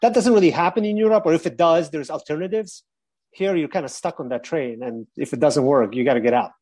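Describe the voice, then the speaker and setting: American guy on a podcast, plain talk, slightly high voice, dry close mic. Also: a little fast, a little mellow, no room sound. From United States. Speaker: podcast co-host. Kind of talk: casual chat.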